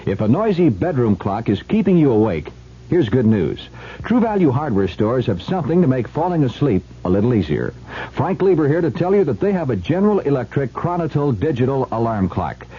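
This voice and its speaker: A man's voice: mid-range voice, sing-song, fairly quick, slightly rough. A man reading a scripted radio commercial as an announcer. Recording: dull lo-fi 1970s radio recording.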